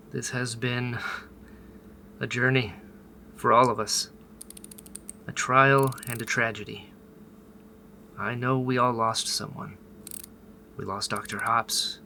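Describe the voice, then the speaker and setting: A man's voice: mid-range voice, vocal fry, sharp tone, slight background noise, full character voice. A man playing a young male rebel leader in a sci-fi radio drama, speaking earnestly and rousingly. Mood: grieving.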